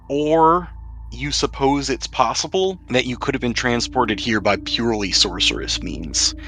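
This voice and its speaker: A man's voice: medium pitch, fairly bright tone, a little hiss or hum. A man running a tabletop role-playing game, explaining rules and scenes in detail. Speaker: game master. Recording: faint music behind the voice. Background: faint background music.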